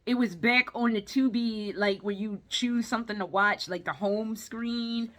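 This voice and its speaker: A woman records a casual solo review vlog in a bright, forward voice pitched a little high. She is tired and rambles conversationally. Her way of talking is blunt and peppered with swearing. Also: fairly even intonation, measured pace. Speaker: video game and movie vlogger.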